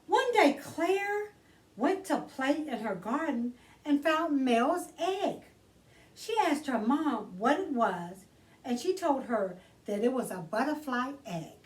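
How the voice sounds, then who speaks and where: A woman's voice: fairly high pitch, recorded from a distance. A woman hosting a children's storytime, reading a storybook aloud expressively and addressing young listeners directly in a theatrical way.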